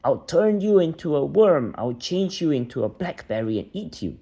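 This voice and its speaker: A man's voice: a little high, very animated, moderate pace, mellow, voice pushed up. A man voicing a furious, menacing magical village creature in a children's storybook. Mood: furious, threatening. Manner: menacing, exclamatory threats. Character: furious sleepy village folk threatening to transform a boy.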